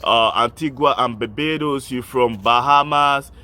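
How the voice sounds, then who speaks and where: A man's voice: medium pitch, measured pace, bright, forceful tone, a little hiss or hum. A man, hosting an online channel, speaking earnestly and directly to his listeners. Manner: earnest, appealing directly to listeners, repetitive listing. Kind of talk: impassioned speech to camera.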